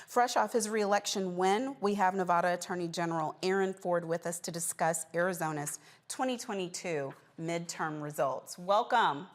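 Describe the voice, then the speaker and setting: Woman news show host doing an interview, lowish voice. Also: normal speed, hardly any pauses.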